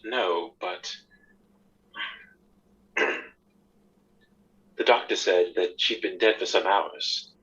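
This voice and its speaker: A man acts a smug gentleman captain in a radio mystery, husky and rough-edged with a forceful low voice. His manner is formal and evasive, on a slightly dull recording. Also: very slow, pauses often, exaggerated voice. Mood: smooth, guarded. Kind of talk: audio drama acting.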